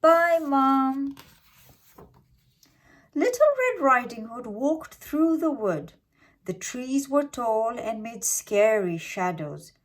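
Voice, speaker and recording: Casting: woman storyteller for kids' tales, expressive and deliberate, widely varied intonation. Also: a little high. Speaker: children's storyteller. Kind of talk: scripted fairytale narration.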